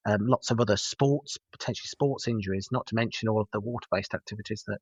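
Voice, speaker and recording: A middle-aged paramedic lecturer with a West Midlands accent, answering interview questions hesitantly with many fillers and restarts. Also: male voice, fairly low pitch, quick pace, slightly rough, no echo, dull audio. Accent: West Midlands English. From West Midlands, England.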